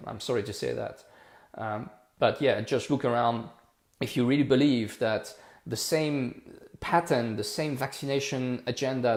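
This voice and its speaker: A middle-pitched man of forty speaks English, pressing his points with repetition. It is casual livestream talk, recorded with a noticeable room echo. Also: darkish tone. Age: 40s. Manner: impassioned, repetitive, rhetorical. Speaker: livestream host.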